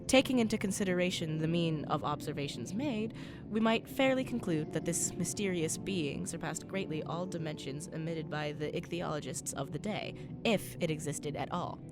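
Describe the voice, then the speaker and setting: A woman narrating a radio play as a grave, scholarly professor, in formal, measured storytelling. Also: low-pitched, music in the background, natural voice. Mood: mysterious, earnest. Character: grave, scholarly professor recounting a sea mystery.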